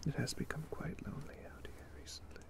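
A man voicing a gentle, courtly vampire catboy in a breathy, soothing ASMR roleplay, formal in his wording. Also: close to an everyday voice. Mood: soothing, wistful. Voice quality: breathy whisper. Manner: slow, hushed, formal and soothing. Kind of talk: soft whispered ASMR roleplay.

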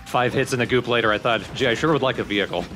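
A man's voice: mid-range voice, very fast, over music. A man co-hosting a let's play, giving casual game commentary with dry sarcasm.

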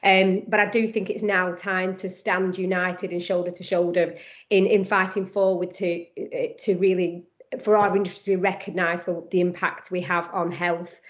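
Fast-talking Lancashire woman on a video call. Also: on the low side, flat delivery, lo-fi sound. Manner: fast, upbeat, with restarts and ums. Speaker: guest speaker. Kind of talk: online panel presentation.

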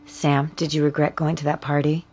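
A woman playing a gentle, probing counselor in a naturalistic audio drama, speaking in a soft, coaxing manner. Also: low-pitched, little pitch movement, normal speed, soft background music, close to an everyday voice. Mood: concerned.

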